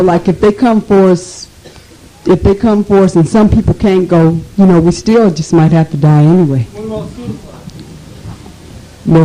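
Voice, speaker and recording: A man's voice: high voice, dark tone, rough recording. A man answering at a meeting in a rambling, hesitant way with many fillers, on an old archival tape.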